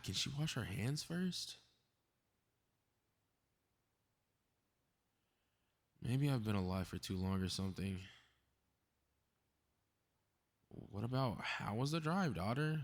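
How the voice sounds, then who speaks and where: A man's voice: mid-range voice, lively intonation, moderate pace, few pauses. A man playing a cocky, snarky superhero in a scripted audio roleplay, muttering to himself with sarcastic exasperation. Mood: indignant. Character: cocky, snarky, meddling invisible male superhero grumbling to himself.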